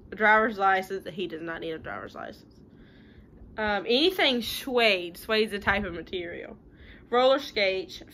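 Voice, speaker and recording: Animated, bright-voiced woman reading to children. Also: medium pitch. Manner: chatty, sing-song, explaining things simply to children. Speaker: teacher reading a picture book to children. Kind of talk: animated read-aloud with casual asides. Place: living room at home. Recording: home recording with light room noise.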